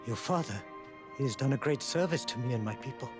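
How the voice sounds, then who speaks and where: A man's voice: mid-range voice, fast talker, music in the background, close to an everyday voice. A male actor playing a genteel, dignified exiled prince, formal and courteous, in a video game cutscene. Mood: measured.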